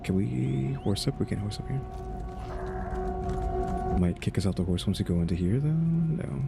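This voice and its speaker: Low, gravelly man gaming over music. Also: animated, normal speed. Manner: laid-back, musing aloud. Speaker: gaming streamer. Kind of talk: casual let's play commentary. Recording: game music under the voice.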